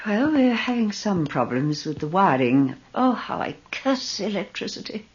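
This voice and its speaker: A woman's voice: mid-range voice, very animated, darkish tone, husky. An actress playing an imperious, sly, devout old lady in a radio drama, theatrical and commanding. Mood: breezy, dismissive. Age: older. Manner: theatrical, commanding, brisk dismissals. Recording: dull, noisy old radio recording.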